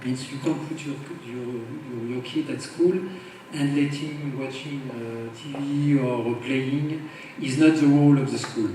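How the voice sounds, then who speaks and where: A man on a parents' panel, speaking halting non-native English full of hesitations and restarts. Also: medium pitch, fairly even intonation, mellow, slight background noise. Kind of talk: panel discussion. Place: school hall. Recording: echoey hall, distant mic.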